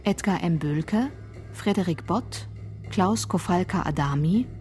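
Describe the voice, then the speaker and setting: A woman reading scripted radio drama credits as an announcer, in a measured, orderly manner. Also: lowish voice, a little mellow. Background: faint music.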